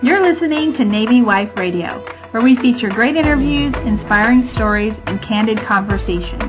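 A woman's voice: on the high side, animated, normal speed. A woman delivering a scripted radio show intro as an announcer. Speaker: station announcer. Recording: phone-like muffled audio under loud music. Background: loud music.

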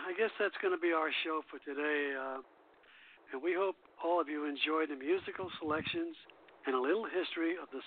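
Higher-voiced male radio host, narrow muffled audio. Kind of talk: radio show sign-off to listeners. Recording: band-limited internet radio call audio.

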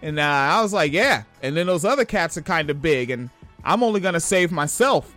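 High-voiced profane man riffing on news. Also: animated, brisk, pushed and bright, soft background music. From Washington State. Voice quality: high-pitched voice. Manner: profane, rambling, laughs often. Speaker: podcast host. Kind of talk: casual commentary reading news.

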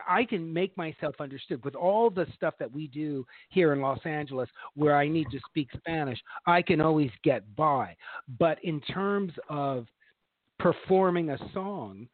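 A chatty man with a slightly high voice tells long, animated stories about his stage work. He sounds squeezed through a narrow, tinny phone line, bone dry with no room around him. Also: unhurried, no room sound. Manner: animated, long-winded storytelling. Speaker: talk show co-host and stage actor. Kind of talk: casual chat. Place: Los Angeles.

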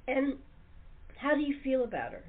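A woman's voice: high voice, sing-song, normal speed. Woman hosting over a phone-like line. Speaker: talk show host. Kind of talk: casual panel interview. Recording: phone-like, narrow-band audio.

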